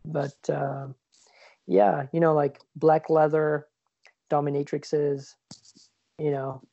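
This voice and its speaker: A man's voice: fairly high pitch, level tone, slow pace, vocal fry, no echo, muffled recording. Man from Switzerland, rambling podcast chat. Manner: rambling, many fillers and restarts. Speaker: podcast co-host. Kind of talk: casual chat. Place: lives in New York.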